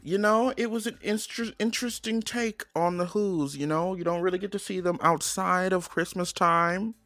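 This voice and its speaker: A man's voice: high voice, moderate pace, fairly bright tone, no echo, clean studio sound, faint music behind. A young man co-hosting a podcast in casual chat, playful and jokey, sometimes breaking into silly sing-song. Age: young adult. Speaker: podcast co-host.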